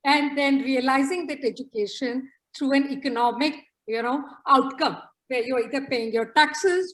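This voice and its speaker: A woman's voice: high-pitched, rough recording. A woman speaking earnestly in an interview, often restarting and adding "you know". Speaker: author interviewee. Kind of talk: reflective interview talk.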